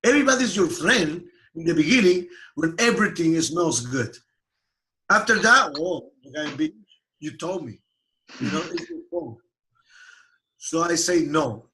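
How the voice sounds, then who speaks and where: A man from Peru with a Spanish accent, speaking non-native English as a company founder telling his story in an interview, with animated, broken phrasing. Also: on the high side, unhurried, pauses often, poor audio. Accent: Peruvian Spanish. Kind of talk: casual interview answers.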